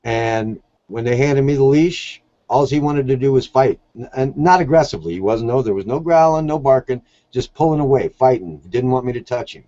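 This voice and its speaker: A man's voice: mid-range voice, expressive, brisk, hardly any pauses, dark tone, dry recording, dull audio. A man talking casually as an interview guest, telling stories in a plain-spoken, earnest way. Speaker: podcast guest, shelter dog volunteer.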